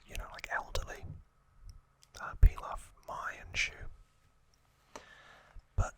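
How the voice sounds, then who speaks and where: A man hosting ASMR, giving a trivia recap in a soft, breathy, unvoiced whisper with halting, informal asides. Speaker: ASMR host.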